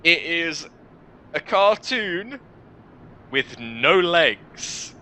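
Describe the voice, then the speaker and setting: A man's voice: fairly high pitch, very animated, very slow, sharp tone, slight background noise. A man hosting a gaming video, reading visual novel text aloud with dramatic narration and wry, incredulous asides.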